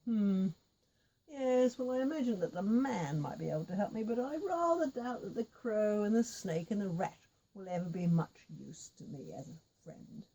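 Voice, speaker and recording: Woman as a mellow, musing male traveller. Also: medium pitch, expressive, normal speed, slightly rough, low-quality recording. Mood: musing, doubtful. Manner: musing, sceptical. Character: doubtful male traveller, plain and practical.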